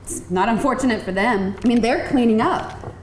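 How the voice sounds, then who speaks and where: A woman acting a wry, high-voiced job candidate. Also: normal speed, darkish tone, lightly acted. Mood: casual. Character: wry, modest female bank teller and struggling actress. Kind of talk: live screenplay table read. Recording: echoey live room, faint noise.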